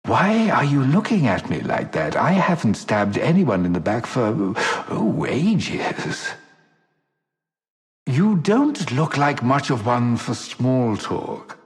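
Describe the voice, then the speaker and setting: An actor playing a vain, teasing sci-fi rogue in a video game, with a theatrical, genteel, condescending delivery. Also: male voice, mid-range voice. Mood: teasing, haughty. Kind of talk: video game character voice lines. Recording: long hall-like reverb.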